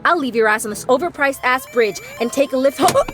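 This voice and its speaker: High-voiced, exasperated woman driver played by an actress. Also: lively intonation, a little fast, music in the background. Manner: sarcastic, profane, confrontational. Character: exasperated, defiant woman driver trapped in her car. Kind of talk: scripted audio drama.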